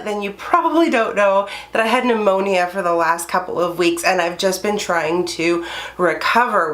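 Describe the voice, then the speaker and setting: A woman's voice: mid-range voice, fairly bright tone. A woman talks her way through a makeup palette review from her bedroom, eager and chatty. Her nose is stuffed up, so everything comes out nasal and congested. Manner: enthusiastic, chatty. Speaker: beauty YouTuber. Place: her bedroom under filming lights.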